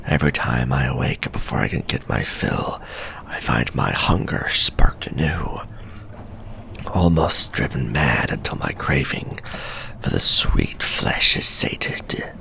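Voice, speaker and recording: A man voicing a male scholar sliding into madness, whispering a fevered, gloating journal in a hushed ASMR horror roleplay. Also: a bass voice, exaggerated voice. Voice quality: gravelly whisper.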